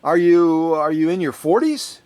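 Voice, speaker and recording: A man with a voice slightly on the higher side talks casually to his viewers in a workshop vlog. He is a lively, chatty storyteller who wanders off on tangents and rarely stops talking. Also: a little slow. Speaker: sewing machine restorer. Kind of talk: casual unboxing vlog talk. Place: workshop, recording his own video.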